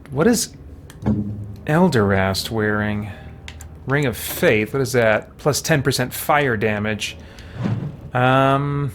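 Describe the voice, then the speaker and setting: A man's voice: mid-range voice, a little hiss or hum. A man hosting a game live stream, thinking aloud in casual, easygoing commentary and chatting with viewers.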